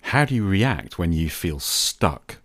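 A man speaking as a podcast host in a reflective scripted monologue, with an earnest, measured manner. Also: sits low, sing-song, a bit bright.

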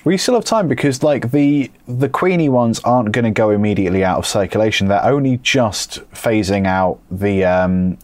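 Low-voiced, dry man. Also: expressive, moderate pace, hardly any pauses, darkish tone, no echo. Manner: dry, sarcastic, teasing. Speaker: podcast co-host. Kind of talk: casual chat. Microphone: remote call setup.

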